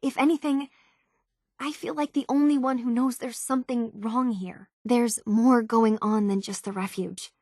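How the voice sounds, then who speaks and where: A woman's voice: fairly high pitch, steady tone, moderate pace, darkish tone, no echo, clean studio sound. An actress playing an earnest young woman tinkerer searching for her identity in a video game, speaking in a natural, slightly hesitant conversational way. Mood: determined, troubled. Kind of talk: naturalistic game dialogue acting.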